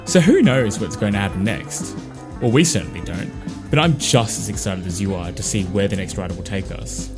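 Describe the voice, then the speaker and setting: A man's voice: on the low side, expressive, fairly quick. A man reading a scripted podcast outro and credits as the host. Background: music with guitar.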